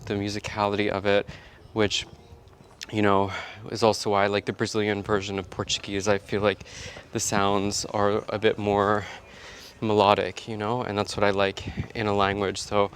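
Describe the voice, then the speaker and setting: Male vlogger, casual chatty delivery, low and even-toned. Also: slight background noise. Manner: chatty, rambling, self-talking. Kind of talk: casual vlog chat.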